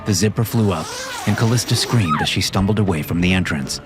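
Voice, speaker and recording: A man narrating a scripted horror story in a measured, even way. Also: low voice. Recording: music bed behind voice.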